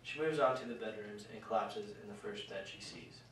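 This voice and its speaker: A man with a lowish voice narrates a scripted children's tale for a puppet show. He is far from the mic in a very echoey room, with faint noise. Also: brisk.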